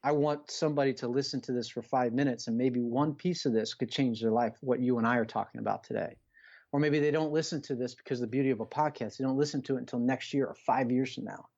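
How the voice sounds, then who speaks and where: Middle aged guy on a podcast, bit muffled audio, average pitch, earnest coach type pep talk, really leans on his points. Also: steady tone, quick pace, gravelly, a little mellow. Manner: earnest, emphatic, repeats phrases, rhetorical questions. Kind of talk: conversational motivational talk.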